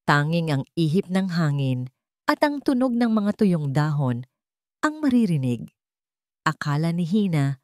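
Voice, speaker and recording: A woman's voice: sits low, darkish tone, no room sound, clean studio sound. A woman with a Filipino accent narrating a scripted romance story.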